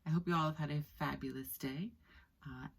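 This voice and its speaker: A woman in her thirties reading a children's book aloud expressively, with deliberate storytelling pauses. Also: sits low, steady tone, creaky, breathy edge. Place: small indoor room with skylight.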